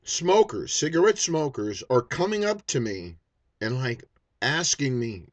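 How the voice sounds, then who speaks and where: A man in his fifties holds forth in a casual vlog, blunt and profane, stumbling over restarts as he goes. His pitch swings widely from phrase to phrase. The sound is dry and close, with no room echo. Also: very animated, measured pace. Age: 50s. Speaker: vaping YouTuber. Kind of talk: unscripted vlog rant.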